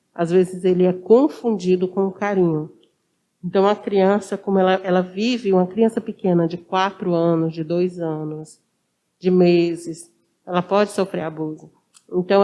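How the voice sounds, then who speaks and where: A woman's voice: lowish voice, mellow. A woman speaking as an interview guest, explaining didactically and repeating phrases for emphasis. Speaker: interview guest, social worker.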